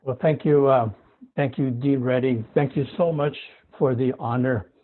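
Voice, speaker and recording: A man in his late fifties whose first language is Spanish, giving a commencement speech, often repeating words and clearing his throat. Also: mid-range voice, steady tone, moderate pace. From United States. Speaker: guest speaker. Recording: husky voice over a tinny phone-like line.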